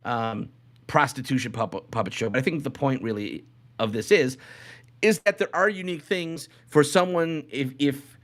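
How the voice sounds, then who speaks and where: A man's voice: medium pitch, moderate pace. A man co-hosting a podcast in casual banter, rambling along and often repeating his phrases. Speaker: podcast co-host.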